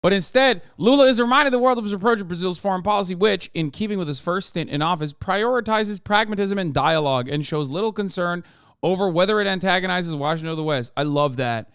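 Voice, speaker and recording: A man with a fairly high, smooth voice reacts live to news, swearing freely and laughing at himself. The sound is dry and close. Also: quick pace, no room sound. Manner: blunt, profane, animated and self-mocking. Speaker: streamer.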